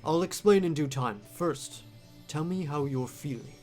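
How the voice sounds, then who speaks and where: A man voicing a composed, superior high-ranking soldier in a visual novel, formal and measured in his speech. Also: a little mellow, soft background music, natural voice. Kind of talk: visual novel character dub.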